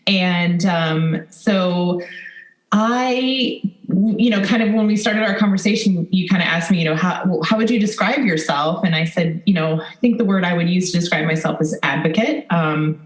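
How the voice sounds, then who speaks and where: Echoey, low-voiced Midwestern American woman. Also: normal speed. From rural southwest Iowa, United States. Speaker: podcast guest, advocate. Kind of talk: casual interview talk. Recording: echoey room sound.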